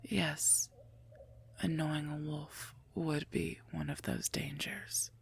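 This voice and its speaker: A woman voicing a sardonic female werewolf knight and mercenary bodyguard in an ASMR roleplay, husky and gravelly, dry and curt. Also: low-pitched, fairly even intonation, slow pace, full character voice. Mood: dry, menacing. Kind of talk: scripted ASMR roleplay, addressed to listener.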